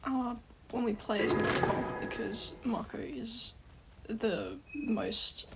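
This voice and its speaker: Congested woman with a gravelly voice. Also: fairly high pitch, slow pace, heavy background noise. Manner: rambling, swears casually, often restarts sentences. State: congested from a cold. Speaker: gaming guide host. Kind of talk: casual rambling game walkthrough.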